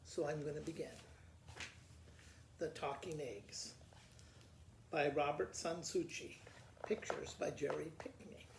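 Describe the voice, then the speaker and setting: A woman reading a storybook aloud as a school principal, retelling it in a halting, informal, folksy way. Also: deep voice, steady tone, gravelly. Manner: halting, informal, self-correcting. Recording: roomy echo with faint background noise.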